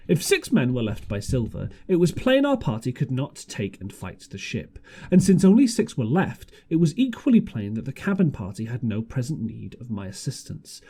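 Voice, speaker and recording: A man's voice: mid-range voice, warm tone, slightly rough. A man reading a storybook aloud as an amateur audiobook narrator, occasionally stumbling and rereading phrases. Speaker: audiobook reader.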